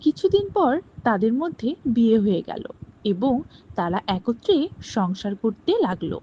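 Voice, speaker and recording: A woman narrating a cartoon story in a steady, simple storytelling way and talking to her channel viewers. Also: a little high, normal speed. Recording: dull lo-fi with faint hiss.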